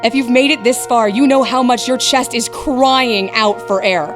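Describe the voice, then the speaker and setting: A woman's voice: a little high, normal speed, music in the background, lightly acted. A woman playing a magician's wife who announces his stage act in a formal, dramatic manner for an audio drama. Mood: dramatic, ominous. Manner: formal, dramatic address to an audience.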